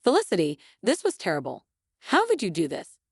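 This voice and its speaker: Woman acting frustrated husband, story narration, distressed, reproachful, disbelieving, lively intonation, dry sound. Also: on the low side, fairly quick, slightly rough, no room sound, heavily acted.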